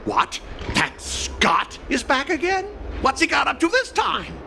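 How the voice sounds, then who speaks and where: A very high-pitched, petty male villain from a video game, pompous and theatrical. His delivery swings wildly up and down and bursts into shouts. Clear noise sits behind the voice. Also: huge pitch swings, a little slow, heavily acted. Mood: indignant, boastful. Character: petty blustering male villain, a sore loser. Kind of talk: video game voice acting, melodramatic villain monologue.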